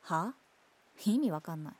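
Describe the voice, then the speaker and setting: A woman's voice: lowish voice, animated, normal speed, a little mellow, breathy edge, no room sound. A woman playing an irritable, dismissive teenage schoolgirl in a voice drama, who speaks curtly and with annoyance. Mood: irritated. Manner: curt, annoyed. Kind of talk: voice drama acting.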